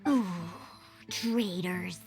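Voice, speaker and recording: A woman's voice: lowish voice, very slow, over loud music. A woman voicing a feisty, indignant little cat-girl in an anime-style game, scolding dramatically.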